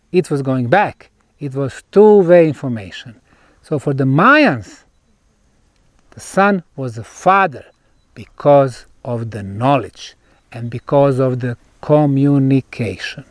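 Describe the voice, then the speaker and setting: Slow, high-pitched male tour guide. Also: dry recording, studio quality. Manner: emphatic, deliberate, short phrases with pauses. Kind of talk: on-site explanatory tour talk. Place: outdoors at a megalithic site.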